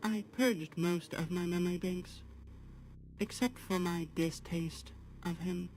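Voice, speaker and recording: A woman voicing a polite, apologetic artificial-intelligence assistant on a livestream, speaking formally in measured, halting phrases. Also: lowish voice, fairly even intonation, darkish tone, a little hiss or hum, rough recording. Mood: polite, wistful. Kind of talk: slow guided talk to a live chat.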